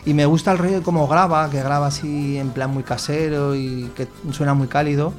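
Spanish guy, musician, kinda high voice, chatting easy and slangy, bit of music behind. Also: fairly even intonation, brisk. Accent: Spain. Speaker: musician, interview guest. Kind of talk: casual chat.

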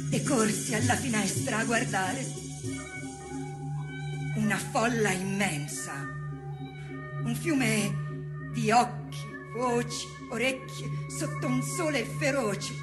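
An actress playing a woman activist who recounts a bloody uprising in a dramatic theatre monologue, in measured phrases broken by pauses. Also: a little high. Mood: grave, intent. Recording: prominent music under the voice. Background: loud theatrical music score.

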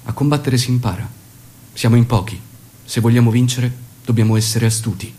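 An actor playing a commanding, shrewd guerrilla leader in a stage drama, speaking in a measured, authoritative way. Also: male voice, mid-range voice, warm tone, slightly rough, lightly acted. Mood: commanding, instructive. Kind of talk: theatrical dramatic acting and monologue.

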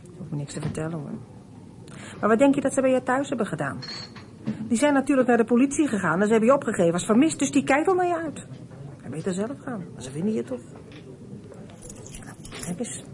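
A woman playing a blunt, motherly stranger in a Dutch radio play, brisk and no-nonsense as she coaxes. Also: fairly high pitch, big pitch swings, darkish tone. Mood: coaxing, practical. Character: blunt, motherly stranger advising a runaway child. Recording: noisy radio play with ambience.

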